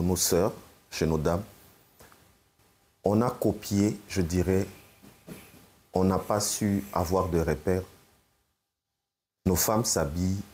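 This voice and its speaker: Low husky man asking questions. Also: a little mellow. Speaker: interviewer. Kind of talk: interview questions.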